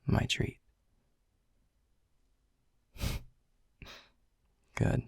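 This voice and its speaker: A man playing a world-weary, grumpy male middle manager who talks in a hushed, breathy, sardonic way and swears casually in an audio roleplay. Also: very slow, dry recording, clean studio sound. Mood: wry, teasing. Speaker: boss.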